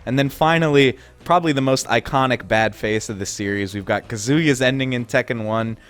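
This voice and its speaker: A man's voice: mid-range voice, a little fast, clear, resonant tone. A young man giving casual, wry commentary over game footage, amused and often laughing at the absurd moments. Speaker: gaming video host.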